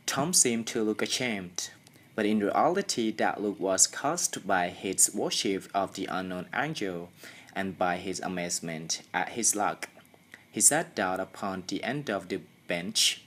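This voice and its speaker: A young Thai-accented student reads a story aloud at a slow pace. The voice sits low, and the delivery is careful and a little halting, in the manner of an amateur audiobook. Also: a bit bright. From Thailand. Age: young adult. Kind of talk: scripted story narration.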